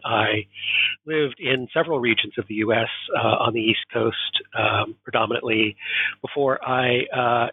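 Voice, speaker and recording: Give me an American man talking over a phone-like line, husky and hesitant. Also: medium pitch, no echo. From Oregon, United States. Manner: thoughtful, many fillers. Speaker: guest professor. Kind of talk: interview guest. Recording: tinny phone-like remote line.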